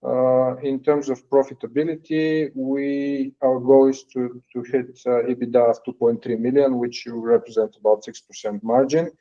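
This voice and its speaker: A man presenting financial results as a company executive on an earnings call, in a halting, hesitant delivery with frequent pauses. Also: medium pitch, level tone, warm tone. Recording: dull, lo-fi call audio.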